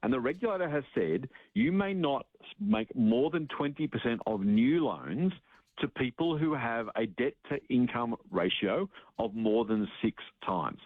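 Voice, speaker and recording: A man's voice: mid-range voice, expressive, unhurried, no room sound. A man with an Australian accent giving informal, explanatory finance commentary as a radio guest, using examples and asides. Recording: narrow tinny phone-like line.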